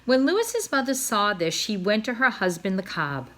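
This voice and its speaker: A woman's voice: medium pitch, sing-song, moderate pace, fairly bright tone. A woman reading a storybook aloud to children as their teacher, addressing them directly.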